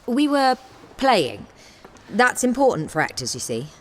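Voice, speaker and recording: Confident theatre boss lady, frank, very lively intonation, radio play. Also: on the high side, a little slow. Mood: firm, businesslike. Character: frank, confident woman theatre director leading her troupe. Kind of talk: naturalistic radio play acting.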